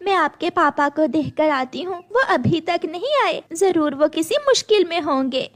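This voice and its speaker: A woman doing a gentle mother sparrow. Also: extremely high pitch, animated, normal speed, pitched above the performer's own voice. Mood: sorrowful. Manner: soft, plaintive.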